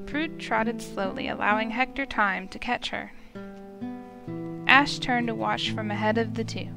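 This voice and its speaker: A woman with a fairly high, creaky voice narrates a fantasy visual novel aloud. She reads it as a storyteller would, with music clearly playing behind her. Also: fairly even intonation, a little slow.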